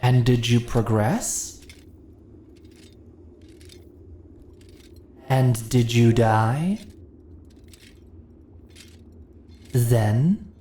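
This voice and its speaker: Woman acting a low-voiced, sly immortal dungeon mistress. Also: warm tone, heavily acted. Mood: exasperated, patronizing. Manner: teasing, condescending, theatrically persuasive, uses pet names. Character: sly, commanding immortal dungeon mistress, scornful of mortal morals. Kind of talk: scripted fantasy ASMR roleplay. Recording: echoey room with faint noise.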